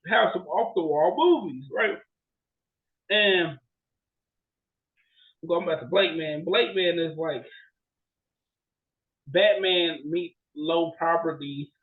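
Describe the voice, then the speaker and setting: Male podcast co-host, high voice, rambling and animated, casual conversational delivery. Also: slow pace, pauses often, narrow, tinny audio. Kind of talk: casual chat about bad movies.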